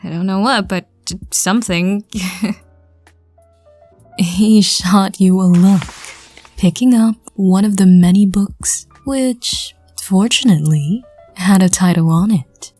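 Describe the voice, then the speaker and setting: A woman's voice: mid-range voice, takes it slowly, darkish tone, soft background music. A woman narrating a fan audio drama and voicing the listener's part, stammering and laughing self-consciously.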